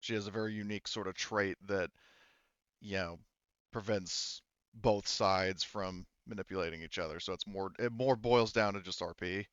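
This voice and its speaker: A man's voice: sits low, steady tone, normal speed, a bit bright, clean tone, dry recording, muffled recording. A man acting as game master, narrating a tabletop game casually, explaining things and often interrupting himself as he goes. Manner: explanatory, hedging, self-interrupting.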